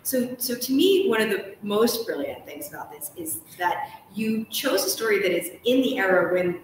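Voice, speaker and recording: A woman's voice: medium pitch, big pitch swings, normal speed. Distant, echoey American woman interviewer. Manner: enthusiastic, wordy, thinking aloud. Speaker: host, interviewer. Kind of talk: casual live interview. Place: large hotel sitting room, live stream. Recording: very echoey, distant, background noise.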